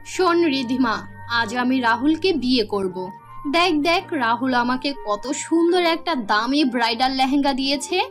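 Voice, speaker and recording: A woman's voice: sits high, expressive, measured pace, soft background music. A woman voicing a spoiled, greedy young sister in a cartoon fairy tale, curt, demanding and dismissive. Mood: boastful.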